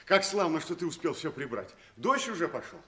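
A man's voice: a little high, very animated, a little fast, fairly bright tone, a little hiss or hum. A man playing a good-humoured, protective vagabond with a folksy, mock-grand manner in a 1960s radio play. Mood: jovial.

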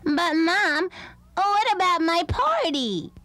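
A woman's voice: extremely high pitch, expressive, slow, fairly bright tone, no echo, voice pushed up, heavily acted. A woman voicing an eager, easily upset young boy with plaintive, childlike delivery in a children's storybook. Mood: upset, pouting.

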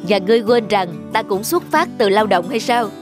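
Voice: medium pitch, lively intonation, normal speed, natural voice. Someone voicing a kindly scholar, music behind. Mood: calm, firm. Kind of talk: animated morality tale dubbing. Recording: background music throughout.

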